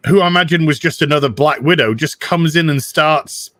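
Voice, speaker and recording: A man in a casual group chat, joking and quick to laugh. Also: on the high side, a bit bright, dry recording, studio quality. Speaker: guest co-host.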